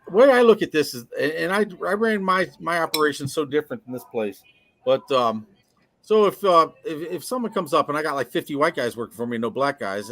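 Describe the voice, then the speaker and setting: An American man from upstate New York speaking casually on a discussion panel, halting and repeating his words. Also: medium pitch, a bit bright. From upstate New York, United States.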